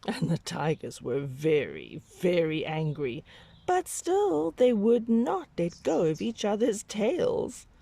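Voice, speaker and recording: A woman reading a picture book aloud to young children, with dramatic, drawn-out emphasis and hushed whispery moments. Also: on the low side, very animated, vocal fry, breathy edge.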